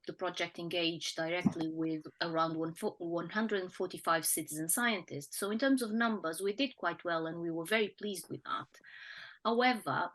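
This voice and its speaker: Croaky-voiced woman presenting research findings. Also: lowish voice, a bit bright, rough recording. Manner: measured, with fillers and throat clearing. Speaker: project researcher. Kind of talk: webinar presentation.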